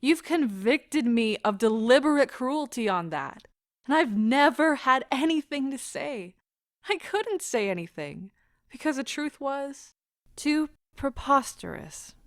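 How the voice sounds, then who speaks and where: A woman playing an anguished, proud wife in an audio drama, speaking with deliberate emotional intensity. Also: sits high, sing-song, dry recording, studio quality, full character voice. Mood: confessing, intense. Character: anguished, proud wife pleading as her marriage ends.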